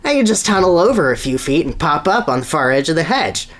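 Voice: medium pitch, very animated, a little fast, fairly bright tone, pitched above the performer's own voice. A reader voicing an eager, pleading young boy hedgehog in a storybook. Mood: pleased, scheming.

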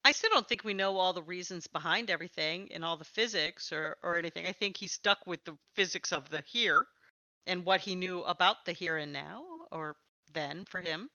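A woman's voice: lowish voice, lively intonation, normal speed, bright, forceful tone, no echo, dull audio. A woman hosting a casual live podcast interview, chatting and asking questions of a guest.

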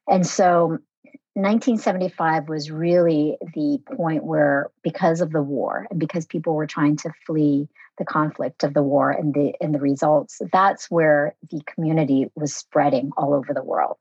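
Low-voiced woman, reflective and unhurried. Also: fairly even intonation, darkish tone, no room sound, highs cut off. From Vietnam. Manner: thoughtful, reflective, with frequent fillers. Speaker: podcast guest. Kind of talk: conversational interview.

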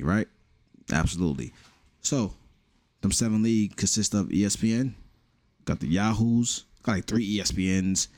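A man's voice: low-pitched, a little mellow, dry recording. A young man with a New York accent, hosting a casual solo podcast with blunt, profane joking. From the Bronx, New York. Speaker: podcast host.

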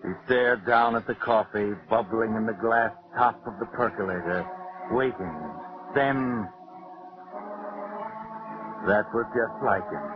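Whistler, ominous night-walking radio storyteller. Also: male voice, on the low side. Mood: grim storytelling. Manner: ominous, measured, theatrical. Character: the Whistler, sinister all-knowing male narrator who walks by night. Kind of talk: 1940s radio mystery narration. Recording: dull vintage 1940s radio recording. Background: music.

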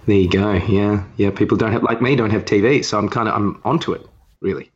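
A man's voice: lowish voice, a little fast, husky, lo-fi sound. A man co-hosting a podcast with casual banter in an offhand, joking way. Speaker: podcast co-host.

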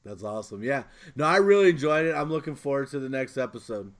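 A man with a mid-pitched voice hosts a casual chat. His delivery is loose and informal, halting as he works through his thoughts. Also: lively intonation. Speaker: podcast host.

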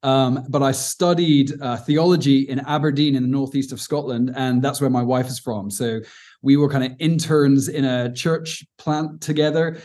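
A man's voice: medium pitch, steady tone, moderate pace, a little mellow. A man from Cornwall with an English accent, giving an earnest, informal teaching talk on prayer as a guest speaker, with frequent fillers and restarts. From Cornwall, southwest England.

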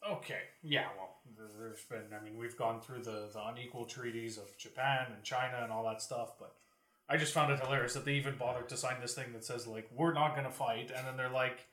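A man's voice: mid-range voice, steady tone, brisk, sharp tone. A man hosting a podcast with casual, joking, sweary banter as he poses questions, recorded with a roomy echo. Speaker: podcast host posing questions. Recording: roomy, echoing sound.

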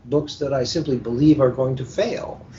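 A middle-aged or older man speaking as an editor in an informal panel discussion, with deliberate, repetitive phrasing. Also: mellow, far from the mic. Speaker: editor, podcast guest. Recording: muffled lo-fi internet call with rumbling noise.

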